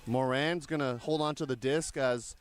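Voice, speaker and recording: A man in his thirties commentates on a live game. His voice sits at a middle pitch, and his delivery moves between describing the play and conversational explanation. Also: steady tone, normal speed. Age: 30s. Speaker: sports commentator.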